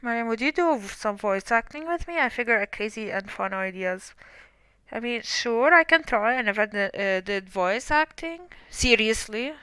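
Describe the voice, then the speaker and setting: A woman's voice: on the high side, animated, normal speed, sharp tone, clear, resonant tone, no room sound, low-quality recording. A young woman streamer chatting casually and rambling, with self-deprecating asides, while playing a game. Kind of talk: casual live-stream commentary.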